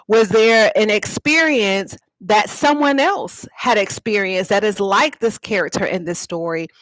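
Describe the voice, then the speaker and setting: A woman's voice: medium pitch, no room sound, rough recording. Woman hosting a dry, close-sounding livestream. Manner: explanatory, inviting audience responses. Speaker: author and host of a live read-aloud. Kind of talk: informal livestream talk to viewers.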